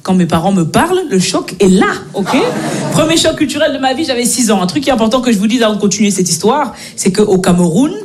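A woman in her twenties from Belgium doing live stand-up storytelling, animated and sarcastic, acting out conversations. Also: on the high side, quick pace, a little hiss or hum. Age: 20s. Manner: animated, acts out dialogues, sarcastic. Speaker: stand-up comedian. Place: comedy venue before an audience. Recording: live stage with audience laughter.

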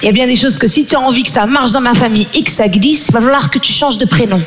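A woman's voice: on the high side, rapid-fire, faint music behind. A woman from Belgium doing stand-up on stage, firing back sassy, laughing retorts. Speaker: stand-up comedian. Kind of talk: stand-up comedy banter on stage. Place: live show before a loud audience. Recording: live stage mic over a loud crowd.

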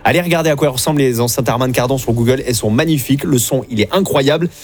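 A man hosting an evening radio game show, upbeat and chatty, talking from the studio. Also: medium pitch. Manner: upbeat, chatty, quick patter.

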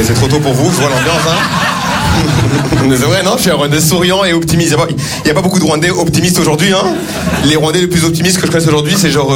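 A man's voice: on the high side, heavy background noise. A man doing a stand-up set, self-mocking, with frequent hesitations. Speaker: stand-up comedian.

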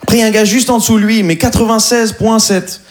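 A man performs stand-up comedy in a club, his voice slightly high. He tells his jokes with animated energy and slows right down when he lands a punchline. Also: a crowd in the background.

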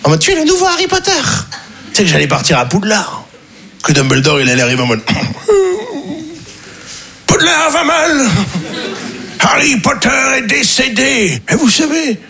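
A young man performing a stand-up comedy set on stage before a live audience, playful and quick to jump into high squeaky voices for the people he quotes. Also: huge pitch swings, gravelly, a bit bright.

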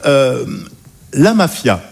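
A man performing conversational stand-up comedy to an audience, animated, with hesitant asides and tag questions. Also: medium pitch, extremely slow, gravelly, husky.

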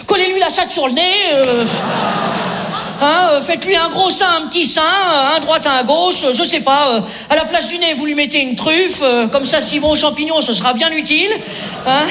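Actress as a high-pitched, flippant, rambling woman. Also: normal speed, a bit bright, slight background noise. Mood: flippant, excited. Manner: hesitant, rambling, offhand. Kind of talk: comedy sketch performance.

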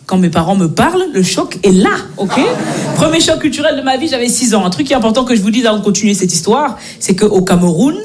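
A woman's voice: a little high, lively intonation, quick pace, a little mellow. A young woman in her twenties from Belgium, performing stand-up with cheeky, punchy storytelling. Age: 20s. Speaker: stand-up comedian. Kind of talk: stand-up routine. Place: comedy stage with audience. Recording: live stage with crowd. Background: audience crowd.

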